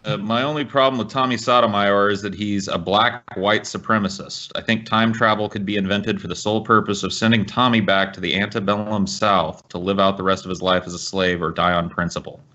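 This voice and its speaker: A man's voice: fairly low pitch, fairly even intonation, a little fast, few pauses, bright, forceful tone, lo-fi sound. An American man co-hosting a podcast with casual, blunt, sweary political banter. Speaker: podcast co-host.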